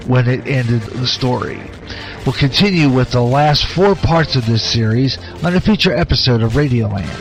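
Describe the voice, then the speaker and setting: A male radio host reads a scripted show introduction. His pitch is average for a man, and music plays faintly behind him. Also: poor audio.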